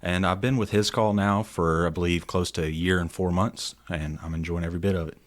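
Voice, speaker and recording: Guy, low deep voice, short plain intro on a podcast. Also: fairly even intonation, brisk. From Middle Tennessee. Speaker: sales team guest. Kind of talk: casual podcast interview.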